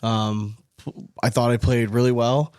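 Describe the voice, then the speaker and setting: Male American podcast co-host, mid-pitched, casual and rough-worded, recorded very dry. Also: little pitch movement, unhurried, darkish tone, no echo. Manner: foul-mouthed, informal.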